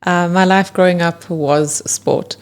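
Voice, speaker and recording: A woman in her thirties, a podcast guest who talks in a soft, plain and self-deprecating way and often laughs lightly. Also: lowish voice, steady tone, unhurried. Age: 30s. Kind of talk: casual interview conversation.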